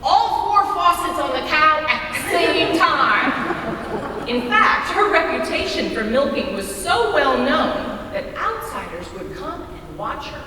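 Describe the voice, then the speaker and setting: A woman telling a rehearsed family story on stage, measured and expressive, with deliberate pauses. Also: sits high, full character voice. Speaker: readers theater storyteller. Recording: distant echoey hall with faint noise.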